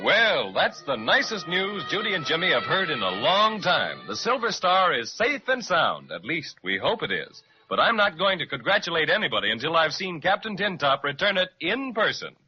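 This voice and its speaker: A man's voice: on the high side, big pitch swings, normal speed, few pauses, husky. A man narrating a 1930s children's radio serial, speaking straight to the listeners like a storyteller. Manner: warm storyteller, addresses listeners. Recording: dull lo-fi 1930s radio with music.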